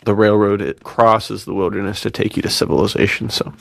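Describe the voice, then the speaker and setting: A man with a lowish voice and plenty of gravelly creak talks in an easy, slangy podcast style. He sounds keen and agreeable, recorded very dry. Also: fairly even intonation, fairly quick, dry recording. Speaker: podcast co-host. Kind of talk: casual enthusiastic chat.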